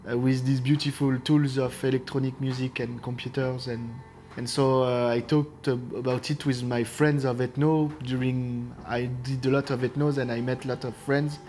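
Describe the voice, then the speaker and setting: A man speaks English in an easygoing documentary interview, his voice in an ordinary middle register. He stops often to fill pauses with "uh" as he recalls things. Soft music sits in the background. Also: medium pitch, flat delivery. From France. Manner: hesitant, with frequent "uh" fillers. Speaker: synth player in a band.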